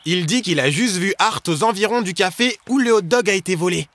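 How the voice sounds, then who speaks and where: A man's voice: high voice, a little fast, a bit bright, slight background noise. An actor voicing an eager, friendly young boy in a French children's cartoon dub, speaking in an earnest, explanatory way. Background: music.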